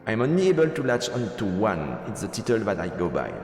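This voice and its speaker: A man giving hesitant live let's play commentary on a visual novel and reading its narration aloud, thinking out loud as he plays. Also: lowish voice, very animated, brisk. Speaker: streamer playing a visual novel. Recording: echoey room with long reverb, game music behind.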